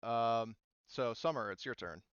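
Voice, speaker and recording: A man's voice: medium pitch, measured pace, clear, resonant tone, no room sound, muffled recording. A man speaking casually as a game master, explaining rules and thinking aloud in a hesitant, informal way.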